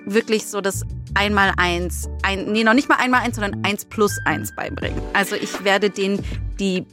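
A woman's voice: medium pitch, steady tone, moderate pace, over music. A woman co-hosting a podcast in casual chat, colloquial and blunt, dropping in the odd English phrase. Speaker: podcast co-host, comedy writer and screenwriter.

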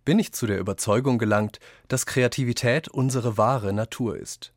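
A man from reads quoted texts for a radio essay, deliberately and with clear articulation. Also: fairly low pitch, no echo, studio quality. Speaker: reader of quotations in a radio essay. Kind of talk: scripted reading of quoted texts.

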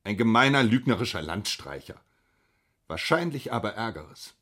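A man's voice: fairly low pitch, big pitch swings, moderate pace, sharp tone, slightly rough, exaggerated voice. A man playing a spiteful, self-righteous young village official in a literary radio drama, speaking with scorn. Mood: scornful.

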